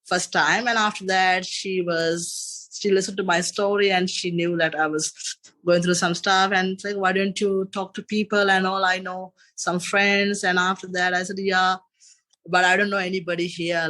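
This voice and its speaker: A man in his thirties with a Sri Lankan accent talks in a bright, clear tone. He rambles loosely through his story as a podcast guest, looping back with filler phrases. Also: sits high, poor audio. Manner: rambling, repeats "and after that" and "you know". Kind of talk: casual interview chat.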